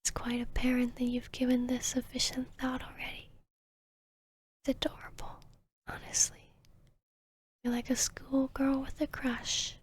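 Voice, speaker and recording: Breathy, slow-whispering arrogant vampiress played by a woman. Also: high voice, monotone. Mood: amused, condescending. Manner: slow, smug, teasing, deliberate. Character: arrogant, seductive vampiress hypnotist posing as therapist. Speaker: ASMR roleplay voice actor. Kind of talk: whispered ASMR roleplay.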